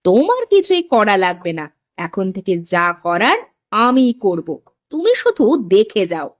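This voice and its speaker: A woman playing a shrewd, resolute potter's wife in an animated moral story, expressive and assertive. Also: medium pitch, unhurried, dry recording, studio quality. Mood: determined.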